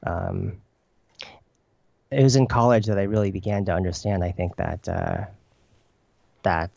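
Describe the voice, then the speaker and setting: A low, gravelly male voice with a dark, mellow tone, answering slowly with frequent pauses and restarts. His first language was Chinese. The sound is dry and a little dull, like lo-fi remote audio. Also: fairly even intonation, no room sound. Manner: hesitant, many fillers and restarts. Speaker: poet guest. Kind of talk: reflective interview answers.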